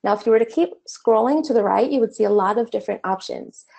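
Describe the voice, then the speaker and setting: A woman talks through a screen-share lesson in a relaxed, chatty way, stopping now and then with an "um". Her voice has a gravelly edge and sounds a little worn, as if she is starting to lose it. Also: fairly high pitch, fast. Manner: casual, conversational explaining with fillers. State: slightly losing her voice. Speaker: online course instructor. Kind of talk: screen-share tutorial walkthrough.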